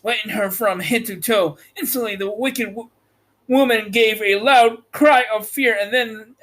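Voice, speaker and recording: Slow, halting male storybook narrator. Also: high-pitched, fairly bright tone, rough recording. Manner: halting, stumbles over words while reading. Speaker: audiobook narrator and host.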